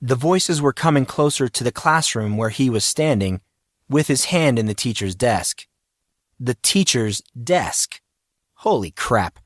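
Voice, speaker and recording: A man's voice: mid-range voice, lively intonation, a little slow, dry recording, clean studio sound. A man reading scripted, dramatic fiction as an audiobook narrator.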